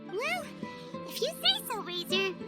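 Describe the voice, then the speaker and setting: Tiny childlike game fairy, extremely high pitch, wildly swooping intonation, chirpy, cheerful, self-important. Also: female voice, sing-song, a little slow, over loud music, full character voice. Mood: encouraging. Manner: speaks of herself in third person, chirpy. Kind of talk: video game voice acting.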